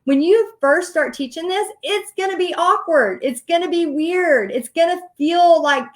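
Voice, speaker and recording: A woman's voice: very high-pitched, moderate pace. A middle-aged woman with a Texan accent, talking straight to her viewers in a chatty coaching livestream, laughing often. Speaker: host teaching paint party business. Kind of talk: casual livestream coaching talk.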